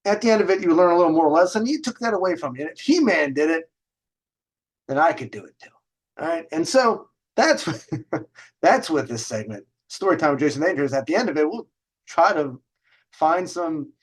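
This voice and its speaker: A middle-aged man hosting a video segment, telling stories in a casual, rambling, informal way with conversational asides and some swearing. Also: a little high. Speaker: host of a dating and lifestyle video segment. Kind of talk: casual, rambling storytelling to camera.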